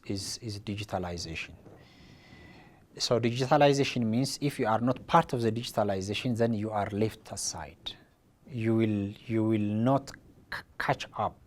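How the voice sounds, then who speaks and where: A man from Ethiopia, an education official, speaking haltingly with many repeated restarts. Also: lowish voice. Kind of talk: interview statement.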